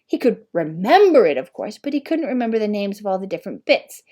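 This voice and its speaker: A woman's voice: medium pitch, big pitch swings, fast, dark tone. A woman narrating a storybook expressively as an audiobook reader.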